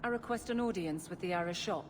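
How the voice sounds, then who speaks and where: A woman's voice: fairly low pitch, quick pace, close to an everyday voice. A woman doing a stern guard captain. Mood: firm. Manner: firm, formal, no-nonsense. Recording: game audio with noisy ambience.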